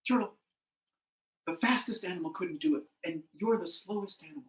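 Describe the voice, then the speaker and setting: A storyteller voicing excitable, doubting animals of the meadow, exclaiming and pleading in an echoing room. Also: mid-range voice, a little fast, recorded from a distance, natural voice. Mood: skeptical. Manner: exclamatory, pleading, repeating words.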